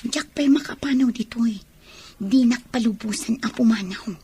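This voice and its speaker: A woman's voice: a little high, normal speed, mellow, a little hiss or hum, natural voice. A woman playing a coy, secretive young mistress in an Ilocano radio drama.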